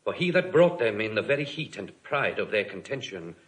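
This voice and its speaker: A man's voice: on the low side, very animated, a little fast, poor audio. An actor playing a dutiful nobleman in classical Shakespearean audio drama, delivering news in a grave, formal, declamatory manner. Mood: earnest, formal. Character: dutiful English nobleman reporting grim war news.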